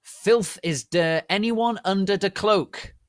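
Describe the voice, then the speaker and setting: A man voicing an irate male school janitor, shrill and indignantly demanding, in a story reading. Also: slow, dry recording, clean studio sound. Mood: angry, suspicious.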